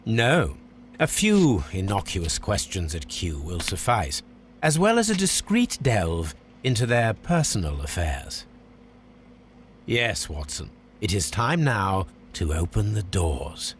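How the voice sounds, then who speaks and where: An actor playing a confident, probing Victorian English gentleman detective in a video game, speaking precisely and formally. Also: fairly low pitch, big pitch swings, takes it slowly, a little hiss or hum. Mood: self-assured. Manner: precise, formal, self-assured. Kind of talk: video game cutscene acting.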